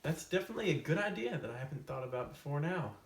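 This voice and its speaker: A man talks to camera as the host of a comic storytime, with a casual, tongue-in-cheek delivery and deadpan asides. His voice sits at a middle pitch. It is picked up from a distance in an echoey room, with faint noise underneath. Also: quick pace. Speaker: host of a comedic book summary video. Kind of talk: mock storytime skit to camera, casual book summaries. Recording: roomy echo, off-mic, faint hiss.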